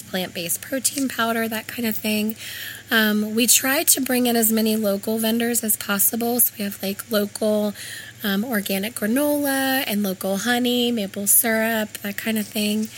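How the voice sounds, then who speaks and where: A woman with a raspy, hoarse voice from laryngitis, explaining her store conversationally in an informal interview as its co-owner. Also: medium pitch, fairly bright tone, a little hiss or hum, low-quality recording. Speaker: health food store co-owner.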